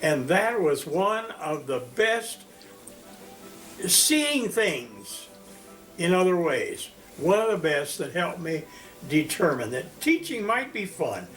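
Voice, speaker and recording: A man of one hundred from Indiana, recalling his life as a teacher in a slow, storytelling interview. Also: soft background music, low-quality recording. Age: 100s. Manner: slow, storytelling, animated pitch swings. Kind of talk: reminiscing interview.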